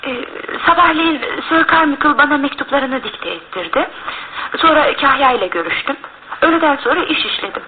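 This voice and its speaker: On a thin, hissy old radio recording, a dutiful young woman giving testimony. Her voice is high and polite, each answer set out simply. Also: animated, brisk, phone-quality sound. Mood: matter-of-fact. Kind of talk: Turkish radio theatre acting.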